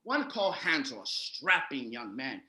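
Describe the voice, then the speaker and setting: A man playing an excitable German fairy-tale storyteller, emphatic and playfully scolding in a comedic stage show. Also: medium pitch, moderate pace. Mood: storytelling. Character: Wilhelm Grimm, excitable German storyteller brother of fairy tales. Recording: roomy, echoey home recording.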